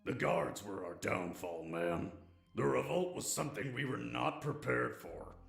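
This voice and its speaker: A man voicing a burly, crooked male pony factory worker in an audio drama, gruff and matter-of-fact, in a roomy echo. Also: medium pitch, expressive, a little slow, fairly bright tone, full character voice. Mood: defensive. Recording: roomy echo with faint music.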